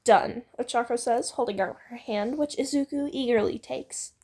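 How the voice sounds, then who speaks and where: A young woman reads fan fiction aloud in a casual, giggly way. Her voice sits a little high with a slight husk, and she trips over words as she goes. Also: normal speed, dark tone, breathy edge.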